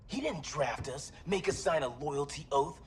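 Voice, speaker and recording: A man's voice: on the high side, expressive, moderate pace, background noise, close to an everyday voice. A young man acting a brash, cocky but earnest superhero in a video game cutscene. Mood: cocky, defiant. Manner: cocky, quippy, colloquial. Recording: loud cinematic music behind.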